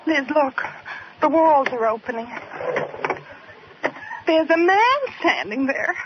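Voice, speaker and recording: An actress playing a chatty, curious, teasing housewife pal in an old radio sitcom style. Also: female voice, sits high, animated, slow pace. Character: chirpy, teasing housewife best friend. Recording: muffled, noisy vintage radio recording.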